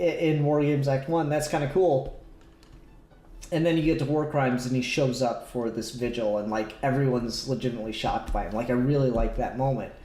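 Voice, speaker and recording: A man co-hosting a casual comic book discussion, talking in a rambling, animated fan's manner. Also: mid-range voice, roomy sound. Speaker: podcast co-host.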